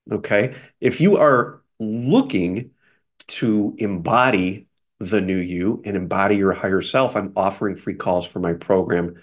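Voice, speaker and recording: A man giving an unscripted spiritual energy update as a coach, speaking in a soft, flowing, guiding way with short repeated list phrases. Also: lowish voice.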